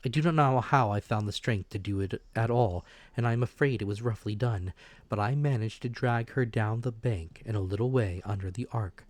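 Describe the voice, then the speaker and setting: A man's voice: lowish voice, moderate pace, dry recording. A man narrating an adventure story as a dramatic, scripted audiobook reading.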